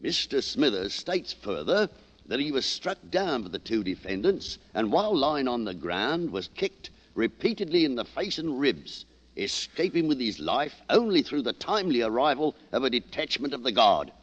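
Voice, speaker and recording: Echoing old-radio sergeant. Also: male voice, mid-range voice, sing-song, moderate pace. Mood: formal. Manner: formal, official. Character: dutiful British army sergeant reading out charges. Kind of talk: 1940s radio drama acting. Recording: reverberant dull old radio.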